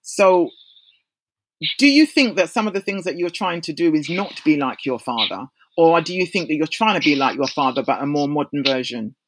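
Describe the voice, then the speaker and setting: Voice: medium pitch, big pitch swings, normal speed, a little hiss or hum, poor audio. An interview host asking questions and teasing the guest playfully. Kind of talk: conversational interview.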